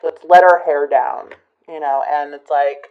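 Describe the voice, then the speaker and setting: Low-voiced woman reading tarot, hoarse. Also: fairly even intonation, unhurried, phone-quality sound. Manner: musing, hesitant, talks straight to viewers. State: hoarse after an illness. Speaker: tarot reader. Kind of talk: casual tarot reading to camera.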